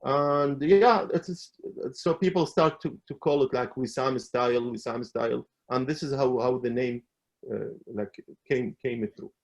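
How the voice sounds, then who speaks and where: A middle-aged man from Iraq, a calligrapher, telling his story as an interview guest in a halting way, with many fillers and restarts. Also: mid-range voice.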